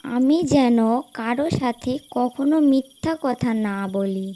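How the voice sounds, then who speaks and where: A very high, slow-paced little girl played by a woman speaks in a children's moral cartoon. She is gentle, devout and honest, placing each phrase with polite, earnest care. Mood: prayerful. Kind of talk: Islamic moral cartoon voice acting.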